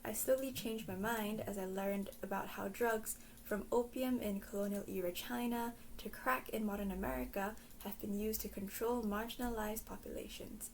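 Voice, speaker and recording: A woman from Singapore giving a scripted explanatory talk in a measured way. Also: mid-range voice, fairly even intonation, darkish tone, poor audio. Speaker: presenter. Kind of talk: scripted educational narration.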